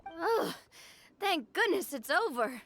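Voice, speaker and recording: A woman's voice: very high-pitched, very animated, slow pace, a bit bright, a little hiss or hum, full character voice. A woman playing an earnest, enthusiastic young woman who loves role-playing, in a video game. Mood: cheerful.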